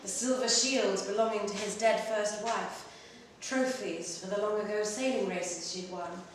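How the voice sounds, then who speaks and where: A woman performing a literary short story at a live reading, in an expressive, measured way with pauses between phrases. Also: medium pitch. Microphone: distant microphone. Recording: very echoey room, distant mic, faint noise.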